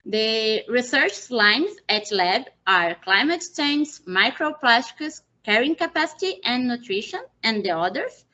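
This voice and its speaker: A young Brazilian woman presents in slow, careful English with a Brazilian Portuguese accent and many restarts. Her voice is bright and fairly high. The sound is muffled, as on a video call. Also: sing-song. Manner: slow, halting non-native English with restarts. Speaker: presenter, PhD student. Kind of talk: webinar presentation. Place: small indoor room. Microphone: video call, laptop mic.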